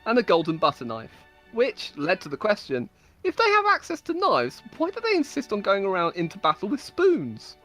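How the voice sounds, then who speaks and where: Lively woman reading comic tales. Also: mid-range voice, moderate pace, soft background music. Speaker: storyteller reading own stories. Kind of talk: comedic dramatic reading of scripted tales.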